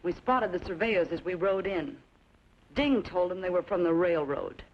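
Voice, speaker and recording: A woman's voice: lowish voice, expressive, normal speed. A woman playing a hard, trigger-happy rancher's daughter in an old western radio drama, curt and bossy. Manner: curt, commanding. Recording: muffled old radio recording with music.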